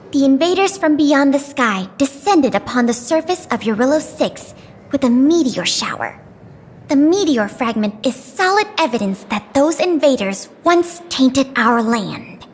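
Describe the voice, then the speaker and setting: A woman's voice: high-pitched, a little hiss or hum. A woman voicing an earnest, friendly young tour guide in a video game, polite and eager to explain. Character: earnest, friendly young woman guiding visitors around a museum. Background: faint background music.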